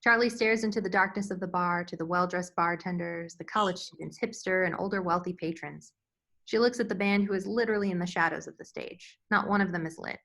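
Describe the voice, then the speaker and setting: A woman's voice: lowish voice, brisk, creaky, a bit bright. A woman reading scene directions as scripted narration at a screenplay table read. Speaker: stage directions reader.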